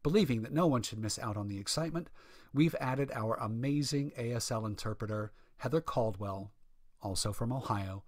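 A man's voice: medium pitch, normal speed, no room sound. Male radio show announcer, dry close sound. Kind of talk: scripted show introduction.

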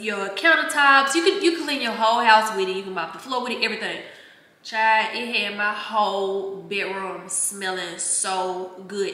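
A woman's voice: a little high, bright, forceful tone. A young woman vlogger with a cold, talking casually to camera in her home kitchen, chatty and slangy with plenty of swearing. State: sniffly with a cold. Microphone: camera on a counter, mid-distance. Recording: echoey kitchen.

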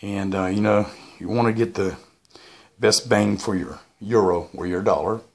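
A man's voice: fairly low pitch, fairly even intonation, moderate pace, darkish tone, breathy edge. A man talking casually and unscripted as he shows off gear in a vlog, in a rambling, hesitant way. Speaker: YouTuber presenting his radio antennas. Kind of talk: casual unscripted product show-and-tell.

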